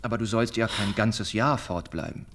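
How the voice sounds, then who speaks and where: An actor in a German radio play, playing a lovesick young gentleman, earnest and measured as he voices heartfelt letters. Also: on the low side, a little hiss or hum. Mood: tender, longing. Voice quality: husky, breathy.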